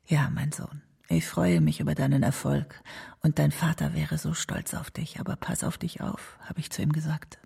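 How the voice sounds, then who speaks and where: An old mother reminisces in a radio drama. Her voice is very gravelly, husky and breathy, with a mellow tone. She sounds tender, wistful and worried, full of love for her son. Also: low-pitched, sing-song, moderate pace, whispered, exaggerated voice. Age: older. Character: doting, worried old mother of a star singer. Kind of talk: documentary radio drama monologue.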